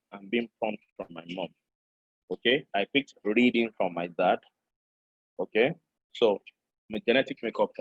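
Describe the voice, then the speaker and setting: A middle-aged Nigerian man, a public speaker, giving an animated, joking seminar lecture and addressing his class directly. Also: on the low side, dry recording. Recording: dull, dry lo-fi recording.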